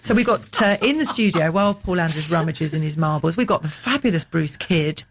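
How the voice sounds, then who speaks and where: A woman's voice: lowish voice, expressive, brisk. A woman co-hosting a radio show in a studio, rambling and gossipy in casual banter. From England. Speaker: radio co-host.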